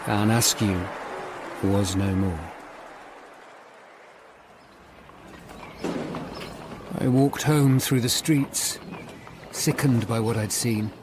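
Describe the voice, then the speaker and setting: An English man in his forties playing a thoughtful, melancholy Tudor lawyer who narrates a radio drama in a measured, literate way. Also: on the low side, noisy background. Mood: grim. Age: 40s. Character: melancholy middle-aged hunchbacked Tudor lawyer, humane and thoughtful. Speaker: narrator and lead actor.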